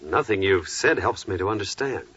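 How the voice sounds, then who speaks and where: Hearty carpenter from bible times, low animated voice, earnest, old 1940s radio acting. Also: male voice, normal speed, breathy edge, no room sound, exaggerated voice. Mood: puzzled, anxious. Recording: muffled 1940s radio recording.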